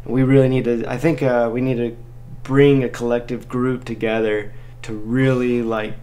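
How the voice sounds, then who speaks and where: A man's voice: mid-range voice, level tone, moderate pace, dark tone. A man hosting a casual live chat, talking in an informal, encouraging and slangy way as he helps listeners out. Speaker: live Q&A host, crypto mining helper.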